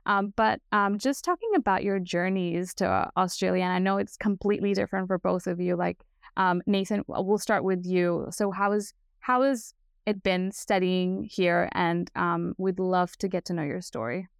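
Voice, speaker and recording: Enthusiastic woman podcast host, slightly creaky voice. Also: on the low side, moderate pace, no room sound, studio quality. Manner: enthusiastic, effusive. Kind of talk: upbeat podcast interviewing with a scripted intro.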